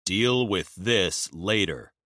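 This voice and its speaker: Male reader plays a steady biker, low, slow and even. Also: vocal fry, breathy edge, dry recording, clean studio sound, full character voice. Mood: urgent. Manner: measured, slow.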